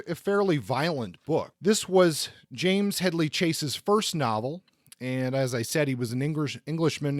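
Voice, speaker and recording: A man's voice: on the high side, no room sound. Casual male podcast host, dry close sound. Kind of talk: casual podcast talk and book review.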